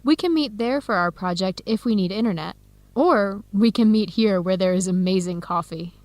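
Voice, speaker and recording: An actress with an American accent playing an eager, friendly researcher abroad in an educational radio drama, speaking with enthusiasm. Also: female voice, medium pitch, normal speed, darkish tone, dry recording, natural voice. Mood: businesslike, upbeat. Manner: enthusiastic, encouraging. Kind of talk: radio drama acting, clear educational dialogue.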